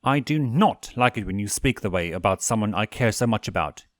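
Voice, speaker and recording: A man acts out a refined owl demon prince for a story reading. The voice is theatrical and affectionate, with formal, courtly phrasing. The pitch swings widely, and the sound is dry. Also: lively intonation, brisk, no room sound, close to an everyday voice. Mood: firm, tender. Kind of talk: fan fiction story reading.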